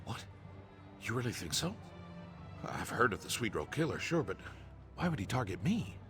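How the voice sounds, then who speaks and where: A man's voice: on the low side, very animated, moderate pace. An AI-voiced man playing an earnest, nervous merchant in game dialogue, with faint music behind. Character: anxious male merchant clerk, earnest, proper.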